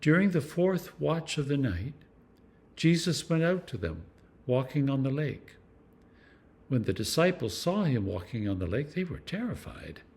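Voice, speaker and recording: Man reading scripture with lively intonation. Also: medium pitch, a little slow. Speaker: clergyman reading scripture for a church meditation series.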